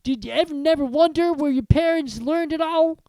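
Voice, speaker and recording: Reader doing big gruff storybook giant, hot tempered, goes loud and high, dry close sound. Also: normal speed, no room sound. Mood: outraged. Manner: booming, loud outbursts in rural dialect. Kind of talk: audiobook character reading.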